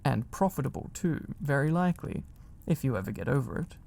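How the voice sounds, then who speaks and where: A commanding old wizard from a storybook, acted by a man. His voice is gravelly and mellow, with a pompous, deliberate delivery and a teasing, amused temper. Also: medium pitch, lively intonation. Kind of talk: storybook character voice.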